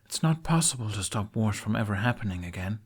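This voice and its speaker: A man's voice: on the low side, animated, a little fast, slightly rough. A tough, loyal young woman warrior from a fantasy audiobook, voiced by a male narrator. She speaks bluntly, like a comrade in arms. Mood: grave, loyal.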